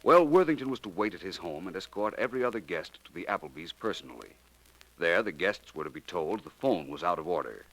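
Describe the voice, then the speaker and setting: An actor playing a suave, calmly superior gentleman detective in a 1940s radio drama, smooth and unhurried. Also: low voice, full character voice, low-quality recording. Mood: explaining. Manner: smooth, unhurried, lightly condescending.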